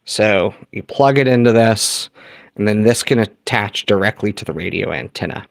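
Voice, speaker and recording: Young guy, gravelly, dry close sound, casual gear-demo vlogger. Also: on the low side, moderate pace, no room sound. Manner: relaxed, joking, explains gear step by step. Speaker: radio hobbyist vlogger. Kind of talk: casual show-and-tell.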